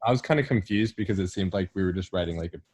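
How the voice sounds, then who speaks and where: A man's voice: deep voice, rapid-fire, a little mellow. A young man, a college student, asking casual questions in class in a tentative way full of fillers. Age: young adult. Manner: tentative, filler-heavy with frequent "like".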